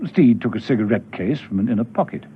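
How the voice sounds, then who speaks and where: A man's voice: fairly low pitch, fast, a little hiss or hum. A man narrating a scripted radio drama as its storyteller. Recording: dull old radio recording with music.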